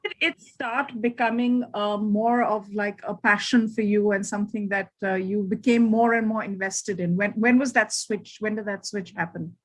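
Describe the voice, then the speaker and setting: A woman's voice: medium pitch, fairly even intonation, moderate pace, few pauses, low-quality recording. A woman host asking interview questions in a conversational, somewhat hesitant way.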